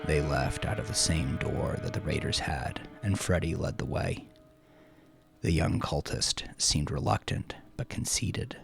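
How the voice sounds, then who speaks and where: A man's voice: very deep voice, a little slow, vocal fry, music in the background. A man narrating crime fiction as a dramatic scripted audiobook.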